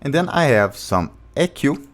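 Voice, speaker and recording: A man with a low voice, a music producer walking through a beatmaking tutorial step by step in slightly non-native English. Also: very animated, measured pace. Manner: plain step-by-step explaining, non-native grammar. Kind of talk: casual screen-recorded tutorial. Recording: beat music playing behind the voice.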